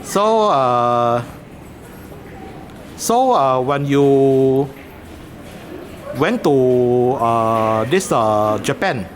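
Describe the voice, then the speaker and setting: A man's voice: mid-range voice, animated, extremely slow, long pauses, a little hiss or hum. A man with a Singaporean English accent chatting hesitantly in a train station corridor, with many fillers and restarts. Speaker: vlogger filming a video shoot. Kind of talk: casual chat while filming.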